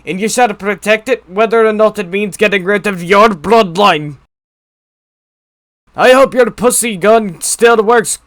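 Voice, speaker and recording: A woman's voice: mid-range voice, dry recording, lightly acted. A woman playing a commanding, boastful, taunting drill instructor aboard a ship. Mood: proud, stern. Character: gruffly commanding, boastful, foul-mouthed shipboard drill instructor. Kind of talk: scripted game cutscene acting.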